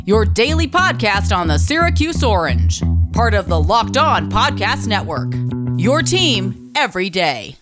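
Brief: woman, intro voice-over, lively swooping read, guitar music beneath. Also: medium pitch, measured pace. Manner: upbeat announcer delivery. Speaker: podcast intro announcer. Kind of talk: scripted promo voice-over. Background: plucked guitar music.

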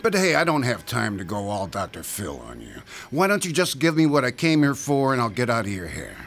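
Male thug for audio drama: taunting, mock-friendly menace, gritty creaky voice, very animated pitch. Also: brisk, exaggerated voice. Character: menacing, sneering male extortionist thug, mocking bully. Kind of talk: modern audio drama acting.

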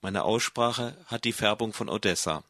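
A man reading a translated voice-over in the first person, calm and matter-of-fact. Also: on the low side, moderate pace, no echo, clean studio sound.

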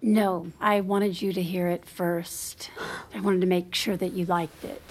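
A woman's voice: fairly low pitch, level tone, a little slow, darkish tone. A woman playing a guarded, frustrated wife in a naturalistic audio drama, clipped and blunt. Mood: calm, discreet. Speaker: actor in scripted audio drama.

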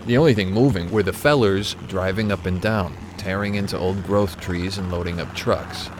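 A man's voice: low voice, moderate pace, background noise, close to an everyday voice. An actor playing a retired, conscience-stricken corporate executive, an older man reminiscing in a documentary interview. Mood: troubled, reflective.

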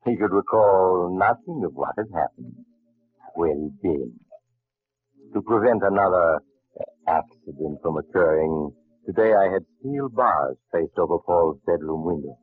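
A man acting a grave, formal doctor who narrates uncanny tales in an old radio drama, speaking deliberately. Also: deep voice, animated. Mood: grave, ominous. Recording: muffled old radio broadcast.

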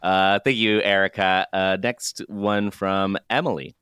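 A man co-hosting a podcast in casual chat, halting and joking as he goes. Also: deep voice, fairly even intonation, a bit bright, smooth, no room sound, clean studio sound. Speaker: podcast co-host.

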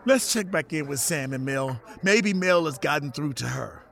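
Gravelly, swooping soap narrator. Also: male voice, a little high, animated, moderate pace, fairly bright tone. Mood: wry, conspiratorial. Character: chatty male narrator of an audio soap. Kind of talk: audio drama narration.

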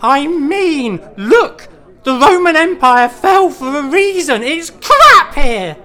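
A man's voice: extremely high pitch, sing-song, slow, bright, forceful tone, voice pushed up, heavily acted. A man voicing a brash, crude young lad who swears and says mate a lot.

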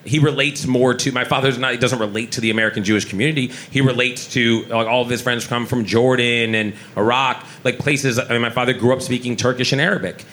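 A man's voice: mid-range voice, steady tone, fast talker, few pauses, fairly bright tone, a little hiss or hum. A man from Israel, a chef and restaurant owner, speaking on a live panel in a restaurant with many restarts and fillers.

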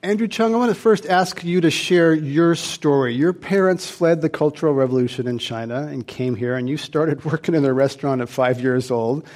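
A man hosting a live panel discussion, reading clear, measured introductions before an audience. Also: a little high, darkish tone. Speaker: host.

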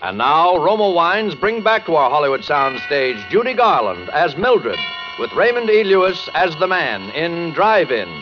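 A man's voice: on the high side, very animated, unhurried. A man announcing a show in dramatic 1940s radio style. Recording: muffled 1940s radio broadcast recording. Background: dramatic music.

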